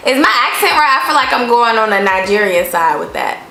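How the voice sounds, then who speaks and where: Casting: playful, inquisitive woman co-host, a little higher voice, echoey room with soft music. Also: big pitch swings, brisk, gravelly, slight background noise. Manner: curious, playful, asks many questions. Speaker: co-host asking about Jamaican phrases. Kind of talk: casual couple vlog chat.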